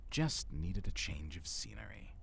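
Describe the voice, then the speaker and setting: A man performs a courtly villain for an audio drama. His voice is extremely low and gravelly, yet it moves through lively rises and falls. He phrases everything formally and theatrically, with measured care. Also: slight background noise, exaggerated voice. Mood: wistful, reminiscing. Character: big bad male villain, courtly, smooth-talking, wistful. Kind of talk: audio roleplay drama acting.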